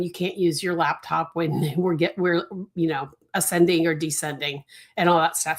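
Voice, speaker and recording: A middle-aged woman, Italian as her first language, hosting a casual desk-tour vlog, rambling with many fillers and self-corrections and often laughing lightly mid-sentence. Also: lowish voice. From United States. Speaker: crochet designer and channel host. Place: home workspace.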